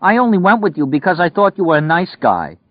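A man voicing a strident young woman from the Bronx with a New York accent, shrill and whiny, in a slightly dull lo-fi recording. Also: big pitch swings, fairly quick, no room sound. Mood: screaming, tearful.

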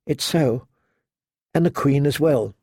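A male narrator plays a timid young noblewoman in a fantasy audiobook. She speaks haltingly and in a hush, full of fear. The voice is slightly high, with some creak in it. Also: no room sound, studio quality. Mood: trembling, hushed. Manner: hesitant, halting, hushed.

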